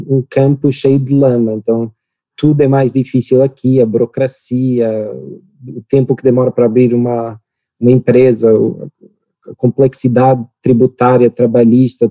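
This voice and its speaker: A man in his thirties from Portugal with a European Portuguese accent, speaking as a podcast guest in a measured way with small restarts. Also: medium pitch, warm tone, no echo, lo-fi sound. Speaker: podcast guest, company cofounder. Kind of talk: relaxed business podcast conversation.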